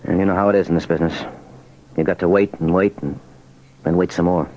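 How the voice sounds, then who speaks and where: Fast-talking radio police sergeant, played by an actor. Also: male voice, sits low, fairly even intonation, a little mellow, highs cut off, close to an everyday voice. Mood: matter-of-fact. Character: steady, practical police sergeant, obliging to his captain. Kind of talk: 1940s radio drama acting. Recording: noisy old radio recording.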